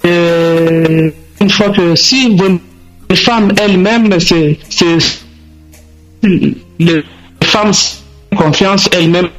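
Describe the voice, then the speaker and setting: High-voiced, halting man. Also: faint music behind, poor audio. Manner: halting, searching for words. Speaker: radio guest. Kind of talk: answering questions in a radio discussion.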